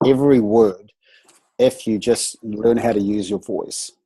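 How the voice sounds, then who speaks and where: Brief: nasal man, voice coach, husky and dark, animated video-call chat. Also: fairly low pitch, unhurried, poor audio. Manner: animated, playful, trails off into restarts. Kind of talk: casual group video chat.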